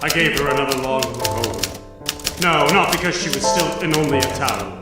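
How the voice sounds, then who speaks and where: An actor playing a dim but self-assured wisecracking private detective in a theatrical live noir radio parody. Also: male voice, mid-range voice, fairly quick, lightly acted. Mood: musing narration. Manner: theatrical, slangy gumshoe patter. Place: on stage in a theater. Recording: echoey theater, distant mic, loud music.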